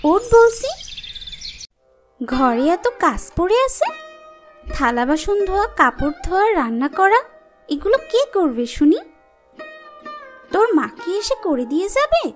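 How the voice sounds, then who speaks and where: A woman's voice: very high voice, very animated, measured pace, long pauses, faint music behind, heavily acted. A woman voicing a cruel, domineering stepmother in a fairy-tale cartoon, scolding and taunting harshly. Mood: angry, threatening.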